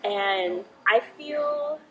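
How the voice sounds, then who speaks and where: A woman's voice: medium pitch, lively intonation, very slow, highs cut off, a crowd in the background. A woman from the United States chatting as an interview guest, laughing often and using many fillers. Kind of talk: casual interview chat.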